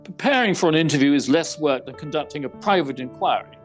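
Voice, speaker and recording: A composed gentleman detective, played by a man in an audio drama. His pitch sits fairly high and moves with lively swings through formal, courteous phrasing, with music around the voice. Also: quick pace, lightly acted. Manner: formal, precise, theatrical phrasing. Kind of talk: audio theater mystery acting.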